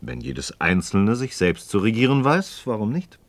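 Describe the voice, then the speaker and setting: Deep-voiced, sceptical debating friend. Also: male voice, sing-song, normal speed, no echo. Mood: contemplative, wondering. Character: sceptical, curious male friend in philosophical debate. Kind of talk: German radio play dialogue acting.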